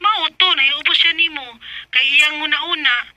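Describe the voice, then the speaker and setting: Sharp, bright young Bisaya friend. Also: female voice, high-pitched, normal speed, a little hiss or hum. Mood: reproachful, explaining. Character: frank young female friend defending her wronged cousin. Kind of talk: Bisaya radio drama acting.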